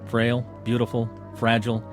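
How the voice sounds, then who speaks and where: An actor playing a glib, proud, debt-ridden gentleman in a 1960s TV drama, with an articulate, theatrical and florid delivery. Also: mid-range voice, completely flat delivery, normal speed, close to an everyday voice. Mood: wry, confiding. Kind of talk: 1960s television drama acting. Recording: vintage TV soundtrack with music.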